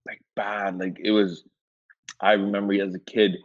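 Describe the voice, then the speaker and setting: A man from Iran, a guest in a casual interview, telling his story in a relaxed, rambling way with lots of filler words. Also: fairly low pitch, normal speed. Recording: dull lo-fi sound.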